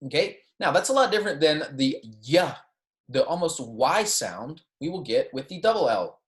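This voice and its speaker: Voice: mid-range voice, big pitch swings, moderate pace. A teacher giving an introductory pronunciation lesson in an instructional way, often checking in with the class and modelling words to repeat. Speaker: Spanish teacher. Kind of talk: introductory language lecture on pronunciation, with repeat-after-me examples. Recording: roomy, echoey sound.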